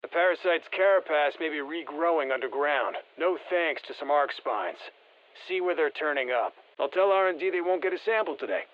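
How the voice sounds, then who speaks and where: Actor as a terse military squad operator, slightly muffled. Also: male voice, fairly high pitch, lively intonation, fairly quick, close to an everyday voice. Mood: matter-of-fact. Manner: terse, matter-of-fact military delivery. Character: seasoned male special-forces operator briefing squad, steady and encouraging. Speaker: military operator giving mission guidance. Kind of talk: scripted video game voice lines.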